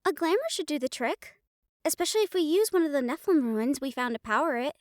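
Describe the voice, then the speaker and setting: A woman's voice: high-pitched, fast talker, dry recording, clean studio sound, lightly acted. A woman playing a perky, girlish, well-meaning but scatterbrained young royal in a fantasy audio roleplay. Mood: upbeat, assured. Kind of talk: dramatic audio roleplay acting.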